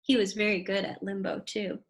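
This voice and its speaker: A woman reading a children's storybook aloud with deliberate, expressive delivery. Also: mid-range voice.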